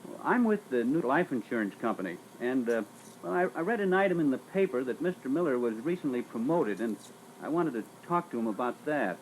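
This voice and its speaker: An actor playing a timid, fumbling rookie insurance agent in a training role-play, hesitant and apologetic. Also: male voice, medium pitch, very animated, fairly quick, close to an everyday voice. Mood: hesitant, nervous. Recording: noisy old reel-to-reel tape.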